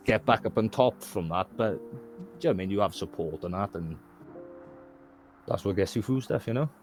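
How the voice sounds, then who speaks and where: A man's voice: on the low side, animated, moderate pace, soft background music. A man from Wales with a Welsh accent, a guest talking candidly in casual conversation, rambling and often asking "do you know what I mean".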